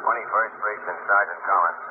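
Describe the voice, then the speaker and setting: A man playing a deadpan, businesslike police dispatch sergeant in a 1950s radio drama. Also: lowish voice, a little fast, natural voice. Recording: tinny, noisy 1950s radio recording.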